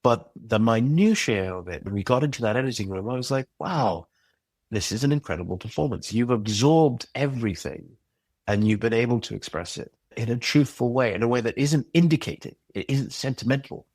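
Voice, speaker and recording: A man's voice: medium pitch, expressive, brisk, a little mellow, no room sound. A man who creates and directs audio drama, speaking as a guest in a casual interview, earnest, reflective and passionate.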